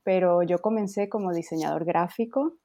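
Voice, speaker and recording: A woman's voice: lowish voice, normal speed, no room sound. A woman in her thirties from Venezuela with a Venezuelan accent, a guest chatting on a podcast over a video call, laughing lightly between thoughts. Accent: Venezuelan Spanish. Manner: warm, laughs lightly, many fillers. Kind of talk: casual interview chat. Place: home, in France.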